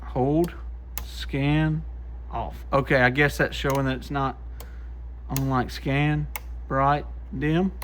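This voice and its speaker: A man's voice: mid-range voice, steady tone, slow pace, pauses often, slight background noise. A man giving casual hands-on testing commentary as a host, plainspoken and full of folksy slang. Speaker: host, CB radio shop technician testing used radios.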